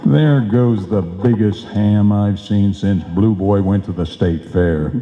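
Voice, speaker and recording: A man's voice: on the low side, slow, warm tone. A man playing a bumbling, boastful husband in an old-time radio comedy, talking in folksy, slangy wisecracks. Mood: wisecracking, dismissive. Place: live performance in a reverberant hall. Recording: echoey hall, dull live stage sound.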